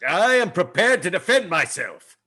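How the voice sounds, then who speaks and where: An actor playing a pompous, duty-bound alien spaceship officer in a formal, declamatory way at a comedy table read. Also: male voice, high voice, very animated, normal speed, bright, forceful tone, full character voice. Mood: indignant. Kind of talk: comic sci-fi script table read.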